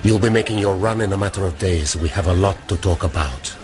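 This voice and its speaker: Man as a deep, knowing machine intelligence. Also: natural voice. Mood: knowing, measured. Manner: measured, formal, knowing. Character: calculating artificial intelligence wearing a gruff barman's guise. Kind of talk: radio drama dialogue. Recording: music behind the voice.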